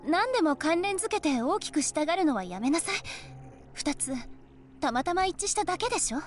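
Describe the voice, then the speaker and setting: A woman's voice: extremely high pitch, sing-song, rapid-fire, a little hiss or hum. An actress playing a tense teenage schoolgirl in a Japanese visual novel. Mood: scolding, shaken.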